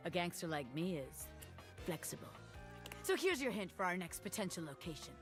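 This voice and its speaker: A woman's voice: on the low side, sing-song, moderate pace, faint music behind. A woman playing a cocky, streetwise small-time gangster pin in a video game, talking in brash wiseguy slang. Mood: cocky, resigned. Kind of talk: video game character acting.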